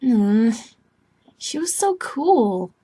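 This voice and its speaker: A woman voicing a moody, self-pitying young alien woman in scripted dialogue, blunt, slangy and excitable. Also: fairly high pitch, very slow, husky, exaggerated voice. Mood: wistful, envious.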